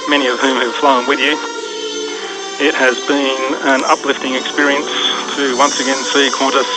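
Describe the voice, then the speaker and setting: An Australian man working as an air traffic controller, giving a measured, formal address over aviation radio. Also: medium pitch, low-quality recording. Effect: aviation radio transmission. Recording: air traffic radio under loud music.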